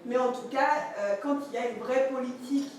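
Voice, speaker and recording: A woman's voice: high voice, brisk, darkish tone, recorded from a distance. A woman whose first languages are Yoruba and Fon gives a conference talk, speaking hesitantly with many fillers and restarts. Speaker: speaker at a masterclass. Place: conference room. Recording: echoey hall, from afar, faint noise.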